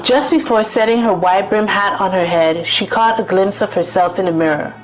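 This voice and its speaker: A woman's voice: medium pitch, normal speed, low-quality recording. A woman storyteller giving scripted, dramatic narration of a folktale. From Caribbean. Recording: music bed behind the voice.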